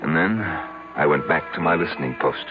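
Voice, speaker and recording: An actor in a radio drama playing a haunted man who makes a halting, brooding confession to his psychiatrist. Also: a bass voice. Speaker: narrator of the story. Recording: muffled old radio broadcast, loud music behind.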